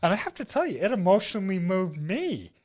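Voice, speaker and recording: A man's voice: high-pitched, very animated, fairly quick, a little mellow. A man hosting an interview, affirming his guest and reflecting thoughtfully on what he hears. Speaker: interview host. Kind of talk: conversational interview.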